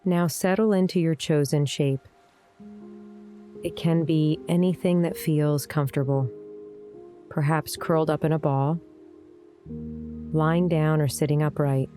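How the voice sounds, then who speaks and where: Low, mellow woman guiding a meditation. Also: fairly even intonation. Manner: gentle, soothing, unhurried delivery with deliberate pauses. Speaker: meditation guide, yoga teacher. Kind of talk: guided meditation, scripted narration. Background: soft instrumental music.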